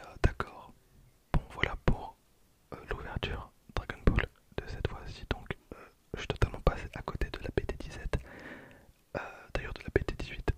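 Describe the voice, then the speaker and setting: A man in his late twenties whispering ASMR commentary softly and unhurriedly, close to the microphone. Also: very high voice. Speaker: ASMR video creator opening trading cards. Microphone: close handheld stereo recorder.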